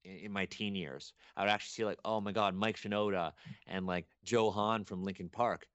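A young man from Canada, a guest chatting casually on a podcast, rambling and animated with many restarts. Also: on the low side, a little fast, smooth, dry recording, muffled recording. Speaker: guest archaeologist.

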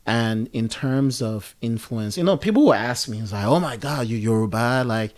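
A man's voice: medium pitch, animated, normal speed. A man in his thirties from Nigeria, talking casually and reflectively in a conversation, with lots of fillers and restarts. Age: 30s. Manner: reflective, rambling, many fillers. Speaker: guest.

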